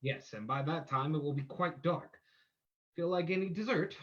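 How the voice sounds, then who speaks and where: A man playing a composed, shrewd gentleman detective with a dry, decisive manner in an old-time radio play. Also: a little high, moderate pace, lo-fi sound, lightly acted.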